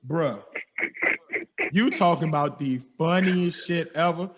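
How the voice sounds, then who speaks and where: A young man hosting a podcast, telling a wild story in casual slang, animated and laughing as he goes. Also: on the high side, takes it slowly.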